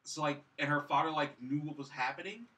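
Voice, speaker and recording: A man's voice: fairly high pitch, a little fast, sharp tone. A man chatting casually as a film review co-host, rambling and dismissive as he recaps, recorded at a distance from the mic. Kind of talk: casual chat, recapping and mocking.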